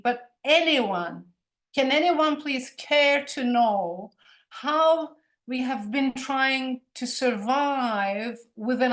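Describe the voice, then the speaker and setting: Earnest Turkish Cypriot woman, slightly high voice. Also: fairly bright tone. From Cyprus. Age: 40s. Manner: earnest, emphatic, repeats words as she searches for them. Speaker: guest lecturer. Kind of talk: online lecture, conversational. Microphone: video call.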